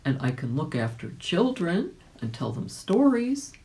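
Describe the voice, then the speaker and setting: A woman voicing a kindly, polite old gentleman rabbit in a storybook reading, with an earnest, eager manner. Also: on the low side, sing-song, measured pace, pitched above the performer's own voice. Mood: polite, hopeful.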